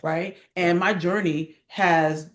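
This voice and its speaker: A woman's voice: fairly low pitch, steady tone, takes it slowly. A middle-aged woman talking casually as an acting coach in an interview, emphatic and passionate, repeating words and posing rhetorical questions.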